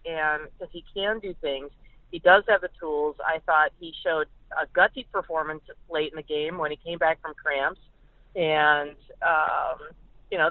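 Tinny phone audio, female sports reporter, casual football talk, halting delivery with repeated words, dry sound. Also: deep voice, no echo. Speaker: sportswriter guest. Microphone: phone call. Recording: narrow, tinny phone line.

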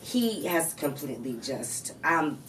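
A woman's voice: low voice, sing-song, a little slow, very noisy. A woman talking bluntly and jokily as a podcast guest, recorded on a distant mic with voices behind. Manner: outspoken, blunt, jokey. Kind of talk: casual interview chat.

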